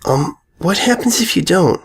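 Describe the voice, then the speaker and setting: A narrator puts on a small, worried filly from a cartoon pony story. The voice is lifted high and hesitant, with timid stammers. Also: animated, normal speed, vocal fry, slightly rough. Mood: worried, meek.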